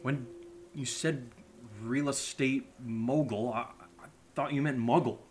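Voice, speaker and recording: A man's voice: mid-range voice, steady tone, a little slow, a little hiss or hum, natural voice. A man playing a goofy, easily flustered amateur investigator who stammers and repeats himself, in naturalistic audio drama dialogue.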